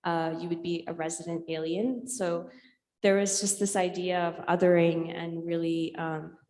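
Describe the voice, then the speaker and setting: A woman from India whose native language is Urdu speaks in a long, reverberant hall. Her voice is on the low side and keeps to a flat, even line. She presents like an artist explaining her work informally, thoughtful and halting, with many filled pauses. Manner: hesitant, reflective, many pauses with filled ums. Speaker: visual and performance artist giving a talk.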